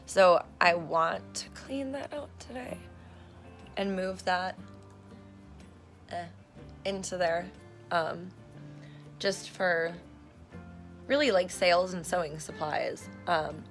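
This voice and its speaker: Woman, hoarse, low-pitched, gravelly, rambling casual vlog chat, phone recording at home. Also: takes it slowly, long pauses, faint music behind. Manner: meandering, many fillers and self-corrections, swears casually. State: hoarse, losing her voice. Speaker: vlogger. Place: at home, in a room being turned into an office. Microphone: phone camera recording herself.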